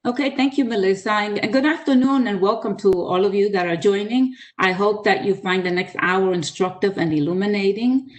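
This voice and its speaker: An older woman, from the Dominican Republic by way of New York City, gives a measured career talk over a video call. She speaks earnestly in a mid-pitched voice, with frequent filler sounds. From Dominican Republic, raised in New York City. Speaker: guest speaker, author. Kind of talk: webinar presentation.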